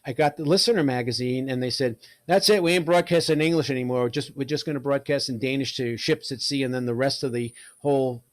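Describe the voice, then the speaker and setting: A man presents in a relaxed conversational style. He is wry and jokes as he goes, talking informally like a hobbyist sharing memories. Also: medium pitch, fast, few pauses. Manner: wry, joking. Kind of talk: casual talk about old shortwave radio.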